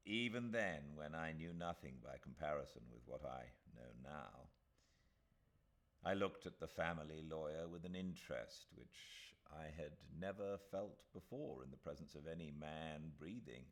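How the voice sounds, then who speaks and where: A British man reading a classic novel aloud as an audiobook narrator, with measured, expressive phrasing. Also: extremely low pitch. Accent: British English. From Britain.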